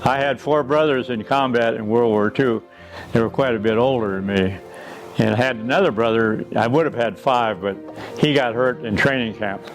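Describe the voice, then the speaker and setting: A man's voice: mid-range voice, normal speed, soft background music, poor audio. An older man from Pine Ridge, South Dakota, reminiscing in a rambling, chuckling way as an interview guest. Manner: rambling, chuckling storyteller, dry humor. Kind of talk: reminiscing in a recorded conversation.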